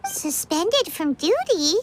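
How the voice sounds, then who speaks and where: A woman voicing a tiny, childlike fairy companion in a video game, squeaky, chirpy and curious. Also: very animated, takes it slowly, a little hiss or hum, exaggerated voice. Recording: music behind the voice.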